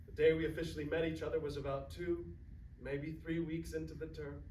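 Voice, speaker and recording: A tender, grieving grandfather played by a man in a stage play, with a slightly higher voice and halting, reflective delivery. The sound is echoey and picked up from a distance. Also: flat delivery, a little mellow, natural voice. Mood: nostalgic, tender. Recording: echoey room, distant mic, faint noise.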